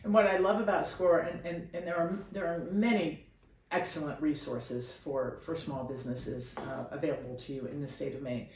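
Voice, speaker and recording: A woman's voice: low voice, fairly even intonation, normal speed, darkish tone. A woman hosting a conversational radio interview, asking questions and adding comments. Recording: echoey room, faint background noise.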